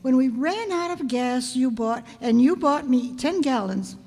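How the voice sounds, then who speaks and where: A woman playing a wry, quick-witted schoolteacher with dry wisecracks in an old-time radio comedy. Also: high-pitched, expressive, darkish tone. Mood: insistent. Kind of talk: old-time radio sitcom acting before an audience. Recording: echoey hall, amateur stage performance.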